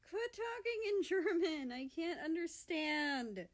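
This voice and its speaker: A woman's voice: extremely high pitch, very animated, takes it slowly, pitched above the performer's own voice, heavily acted. A woman voicing a cute, giggly, whiny young man in love in a read-aloud story. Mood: whining.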